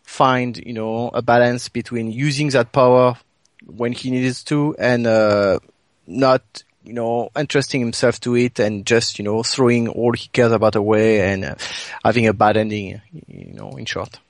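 A man with a medium-pitched voice chats as a podcast co-host. He is hesitant, often padding his sentences with "you know", and the sound is dry with no room echo. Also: fairly even intonation, normal speed. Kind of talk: casual chat.

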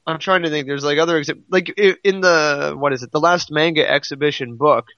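A man's voice: a little high, a little fast, a bit bright, no room sound. A man co-hosting a podcast in casual, conversational chat, heard on a slightly lo-fi recording. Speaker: podcast co-host. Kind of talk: casual chat about a comic series.

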